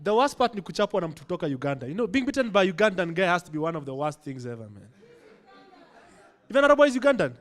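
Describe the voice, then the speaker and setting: A man's voice: high voice, very animated, rapid-fire, a bit bright. A Kenyan man doing stand-up, chatting loosely with the crowd on a club stage. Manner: conversational, crowd-teasing, switching English and Swahili. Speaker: stand-up comedian. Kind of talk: stand-up set. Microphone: handheld stage mic.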